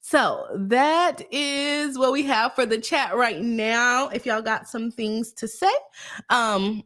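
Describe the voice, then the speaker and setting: A woman's voice: on the high side, takes it slowly, a bit bright. Stuffy-voiced woman hosting a legal live stream. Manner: chatty, explains legal terms plainly, reads chat aloud, laughs often. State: stuffy with a cold. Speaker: attorney and live stream host.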